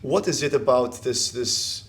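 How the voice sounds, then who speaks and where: A man's voice: mid-range voice, expressive, measured pace, creaky, a bit bright. A man reporting for a conference, interviewing a guest in a friendly way with frequent restarts.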